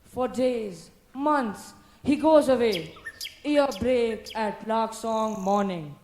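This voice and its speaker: A narrator in a school play, reciting a story in a measured, declamatory way. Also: medium pitch, darkish tone, slight background noise.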